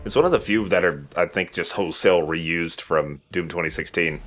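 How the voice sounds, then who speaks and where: Low-voiced joking man over game music. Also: brisk, a bit bright. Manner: joking, informal. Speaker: let's play co-host, player. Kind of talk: casual gameplay commentary. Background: video game music.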